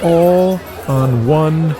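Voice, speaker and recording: A man acting an eager, excitable young wrestling upstart in an audio drama. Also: a little high, extremely slow, a crowd in the background, voice pushed up. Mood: eager, rallying.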